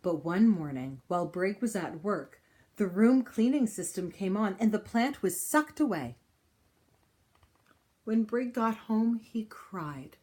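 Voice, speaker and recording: A woman reading a children's picture book aloud in an expressive style, with deliberate, dramatic phrasing and pauses. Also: medium pitch. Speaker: storybook reader.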